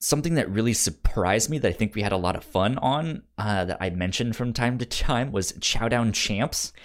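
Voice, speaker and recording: A man's voice: fairly low pitch, moderate pace. A man hosting a podcast in casual review chat, rambling a little as he thinks aloud. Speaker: podcast host.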